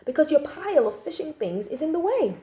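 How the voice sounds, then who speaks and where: A woman reading a children's story aloud in an expressive, emphatic storytelling style. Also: sits high, fairly quick. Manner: animated, emphatic, acts out the child narrator's feelings. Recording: echoey room.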